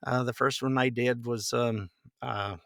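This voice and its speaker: Middle aged guy on a podcast, bright voice, chatty rambling stories, very little room sound. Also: mid-range voice, steady tone, unhurried, gravelly, no room sound, studio quality. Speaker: podcast guest, voice actor. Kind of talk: casual interview storytelling.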